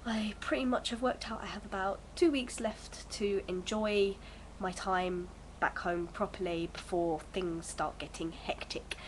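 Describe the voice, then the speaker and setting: A woman's voice: medium pitch, steady tone, measured pace, hardly any pauses, slight background noise, poor audio. A young woman in her early twenties, bunged up with a cold, chatting at home to her vlog camera in a rambling, hesitant way full of fillers. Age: early 20s. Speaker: vlogger. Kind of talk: casual chat to camera.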